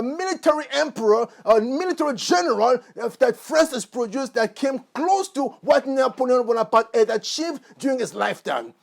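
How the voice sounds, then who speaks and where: Very high-voiced earnest man vlogging. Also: vocal fry. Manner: earnest, warm and effusive, with frequent fillers and restarts. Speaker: motivational YouTuber. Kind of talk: casual monologue to camera.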